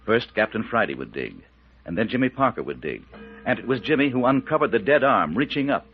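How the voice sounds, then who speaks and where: A man narrating a radio mystery serial as announcer, in a suspenseful, measured and emphatic dramatic style. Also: lowish voice, big pitch swings, soft background music. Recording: muffled, husky old radio recording.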